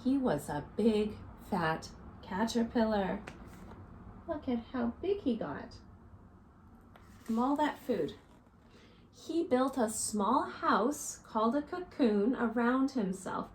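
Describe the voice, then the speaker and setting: Lady teacher doing storytime for little kids, slow and super animated, explains stuff simply. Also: fairly high pitch, slight background noise, poor audio. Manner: deliberate, instructive, addressing young children. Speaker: teacher reading to young children. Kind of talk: expressive picture-book read-aloud and simple lesson for children. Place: small living room, indoors.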